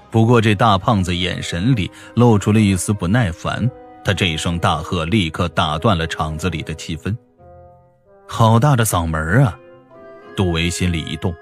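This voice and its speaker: A man narrating a fantasy novel as a scripted audiobook, in a dramatic, deliberate storytelling manner. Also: deep voice.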